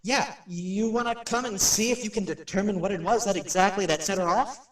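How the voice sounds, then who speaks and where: A man's voice: high voice, fairly quick, close to an everyday voice. A man playing a chatty, nervous radio personality who addresses his listeners in a folksy way in a radio play. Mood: agitated. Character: jumpy, chatty radio showman touring a haunted house. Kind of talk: radio drama acting. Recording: roomy, slightly distant microphone.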